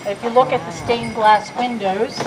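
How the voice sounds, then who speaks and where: A woman giving guided tour commentary from a horse carriage outdoors, with a loud voice and a chatty, joking manner. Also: on the high side, steady tone, normal speed, heavy background noise. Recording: background music over the voice.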